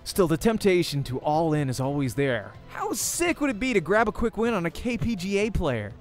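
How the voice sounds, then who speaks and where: A young man with a slightly high voice narrates a visual novel for a let's play. He reads in the first person and tells it dramatically, like a story. Faint music runs underneath. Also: sing-song, moderate pace.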